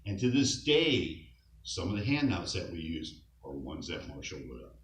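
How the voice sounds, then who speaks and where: A middle-aged man speaks off-mic in a big reverberant hall, his low voice rising and falling with lively swings. He talks through a relaxed eulogy, chuckling at light anecdotes. A faint hiss sits under the recording. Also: normal speed, distant mic. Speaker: scout leader speaking at a memorial. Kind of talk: informal eulogy.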